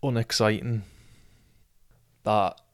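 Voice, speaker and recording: Scouse podcast host in his twenties, deadpan monotone, crisp dry close sound. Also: on the low side, slow pace, no echo. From Liverpool, England. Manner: monotone, sweary, trips over words. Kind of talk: casual solo podcast storytelling.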